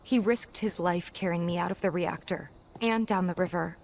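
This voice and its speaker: A woman's voice: lowish voice, moderate pace, creaky, a little hiss or hum. An actress playing a guarded, earnest young woman in a naturalistic video game cutscene. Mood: defensive, indignant. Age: young adult. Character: young woman, earnest, guarded, hurt and defensive childhood friend.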